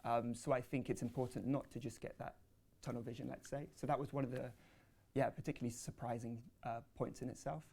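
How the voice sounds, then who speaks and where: A man's voice: mid-range voice, fairly even intonation, a little fast, vocal fry, mellow. A man presenting research and answering audience questions at a panel, speaking hesitantly with many fillers and restarts.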